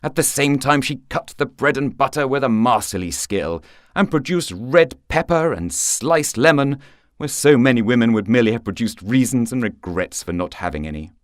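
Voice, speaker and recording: A man's voice: mid-range voice, animated, normal speed, dry recording, clean studio sound. A man narrating a scripted short story for radio in a wry, theatrical storytelling manner.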